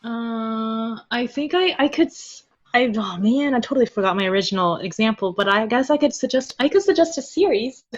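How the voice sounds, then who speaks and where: A woman's voice: a little high, lively intonation, moderate pace, muffled recording. A woman speaking as a podcast guest in a casual chat, playful and giggly, often thinking aloud.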